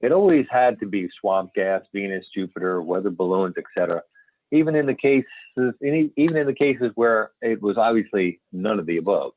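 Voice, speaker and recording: A man acts out a veteran male astronomer turned UFO investigator in a readers theater piece. His voice is low, and he reminisces in a folksy, candid, storytelling way. The sound is narrow and phone-like, as over a conference call, with little room around it. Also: expressive, brisk, no echo. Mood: candid, wry. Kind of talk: amateur readers theater, scripted interview reminiscence. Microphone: phone or videoconference call. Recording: band-limited phone-like conference call audio.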